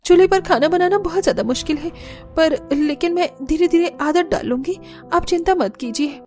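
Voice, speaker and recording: A woman plays an accommodating rich young bride in a cartoon story. Her voice is very high and earnest, with quiet music behind it. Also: moderate pace. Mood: reassuring. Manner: earnest, dutiful. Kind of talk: Hindi cartoon story dialogue.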